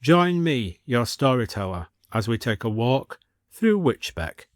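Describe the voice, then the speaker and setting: A man's voice: mid-range voice, sing-song, measured pace, no room sound, clean studio sound. A man narrating a scripted story as a storyteller.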